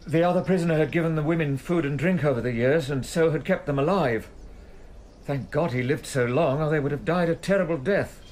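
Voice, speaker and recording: A man's voice: fairly high pitch, brisk, darkish tone, a little hiss or hum, natural voice. An actor playing a conscientious, troubled prison keeper reporting respectfully to his superior in a radio drama. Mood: troubled, earnest.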